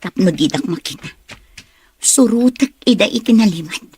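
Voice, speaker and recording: A woman's voice: medium pitch, expressive, normal speed, natural voice. A woman acting a resentful, hard-up young woman's inner monologue in an Ilocano radio drama. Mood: resentful, secretive.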